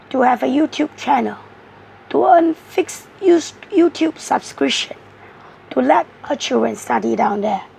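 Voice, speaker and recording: High, gravelly, hoarse woman. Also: darkish tone. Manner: rambling, halting delivery. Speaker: tarot reader. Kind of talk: casual tarot video monologue.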